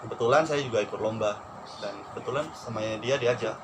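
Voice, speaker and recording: A young man from Probolinggo with a Madurese-tinged Indonesian accent, telling stories candidly and in a relaxed way in a casual chat. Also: medium pitch, fast. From Probolinggo, East Java. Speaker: radio announcer and aspiring actor. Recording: noisy background.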